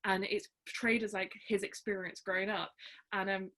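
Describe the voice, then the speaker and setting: A woman's voice: mid-range voice, level tone, normal speed, a bit bright. A young woman talks casually about literature, hesitantly searching for her words. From South Wales, on the border.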